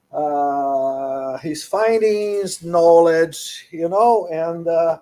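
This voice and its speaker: A man with a Brazilian Portuguese accent, hosting a seminar and giving a formal, halting introduction while searching for words. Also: a little high, a little mellow, poor audio. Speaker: seminar host.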